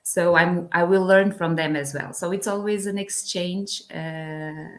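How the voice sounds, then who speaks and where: Slow, low-voiced Portuguese woman. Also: fairly even intonation. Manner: hesitant, many fillers, laughs lightly. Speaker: guest from a language exchange project. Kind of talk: casual interview chat.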